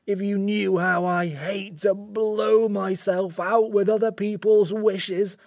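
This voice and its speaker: A woman voicing a grumpy, weary, self-pitying furry magical creature in a storybook, with slow, complaining delivery. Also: sits low, expressive, vocal fry, husky, no echo, studio quality, heavily acted. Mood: tearful, pleading.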